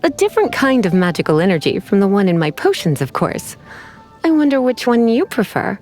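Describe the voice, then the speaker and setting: A woman's voice: mid-range voice, very animated, a little mellow, faint music behind, natural voice. Sultry, teasing sorceress, played by a woman, lilting voice. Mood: playful, coy. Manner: sultry, teasing, unhurried, coy. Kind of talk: video game character voice lines.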